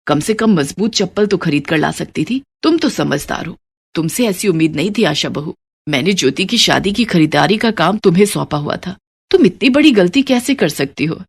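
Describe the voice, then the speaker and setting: A woman's voice: fairly low pitch, lively intonation, normal speed, creaky, breathy edge, pitched below the performer's own voice, full character voice. A woman voicing a stern older mother-in-law in a moral story, reproachful and authoritative. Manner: scolding, authoritative. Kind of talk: animated moral story voice acting.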